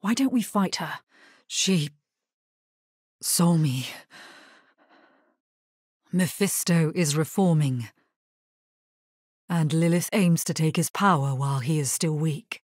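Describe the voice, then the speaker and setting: An actress voicing a solemn, grave necromancer in a video game, with measured, formal delivery. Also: female voice, on the low side, darkish tone, dry recording, clean studio sound. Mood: serious, urgent. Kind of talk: scripted video game character voice lines.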